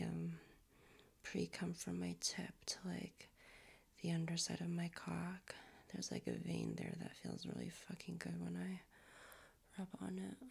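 A young man whispering softly into a close mic, his voice raspy and a little hoarse from a recent cold. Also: high-pitched. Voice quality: raspy, strained.

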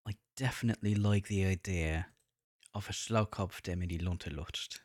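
A man voicing a smooth-talking, flirtatious German tourist with a German accent, suave and deliberate, for an erotic audio roleplay. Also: sits low, darkish tone, no room sound, studio quality, voice pushed down.

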